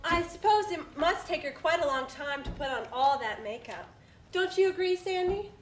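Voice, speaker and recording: Woman playing a snooty high-voiced socialite. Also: expressive, normal speed, roomy sound, slight background noise. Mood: sugary. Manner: affected, drawling, theatrical. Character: snobbish pampered society woman.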